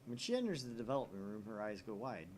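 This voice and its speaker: A man's voice: fairly low pitch, sing-song, quick pace, darkish tone, low-quality recording. A man reading visual novel narration aloud expressively as he plays, with some background noise. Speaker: video game player reading aloud.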